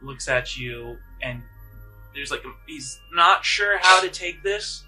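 Bright-voiced man narrating a tabletop game. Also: on the high side, expressive, slow, faint music behind, rough recording. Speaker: game master.

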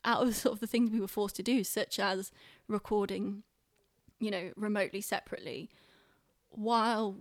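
A woman's voice: medium pitch, normal speed, no echo. Earnest woman in a dry, close recording. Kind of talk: casual podcast discussion.

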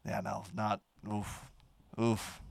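A man, co-hosting a casual comedy podcast and telling stories in an animated way, close to a microphone. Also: on the low side, clear, resonant tone, dry recording. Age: 20s. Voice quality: nasal. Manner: animated storytelling, quick to laugh. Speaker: podcast co-host.

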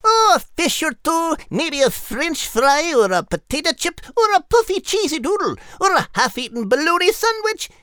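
Cheerful Scottish critter, high-pitched and very animated, folksy joker for a kids' audio story. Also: female voice, normal speed, few pauses, dry recording, studio quality, heavily acted. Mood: chatty. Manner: chatty, folksy, joking.

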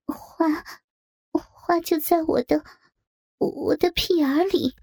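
A frightened, submissive character, put on by a woman narrator, reciting haltingly in an audiobook. The voice is pushed high and sounds pained, with music behind it. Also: fairly even intonation, darkish tone, no echo, studio quality, full character voice. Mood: trembling, fearful. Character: trembling, distressed person reciting under duress.

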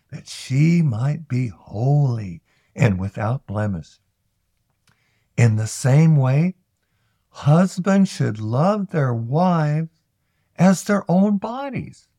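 Slow, gravelly man reading scripture aloud. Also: on the high side, a little mellow, no room sound, clean studio sound. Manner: measured, emphatic phrase-by-phrase delivery. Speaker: scripture reader.

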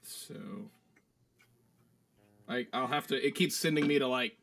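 A man's voice: medium pitch, fairly even intonation, a little slow, fairly bright tone, soft background music. A man with an American accent chatting casually and teasingly as a co-host on a podcast.

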